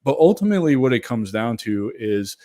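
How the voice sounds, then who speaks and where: A man's voice: mid-range voice, sing-song, brisk, warm tone, dry recording. Male host, reflective, thinks aloud, dry close sound. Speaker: podcast host. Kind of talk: conversational interview.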